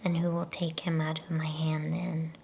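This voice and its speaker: Lady playing evil storybook witch queen, hushed breathy whisper, flat and creaky, bit of noise. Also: low-pitched, normal speed, muffled recording. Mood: cold gloating. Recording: faint background noise.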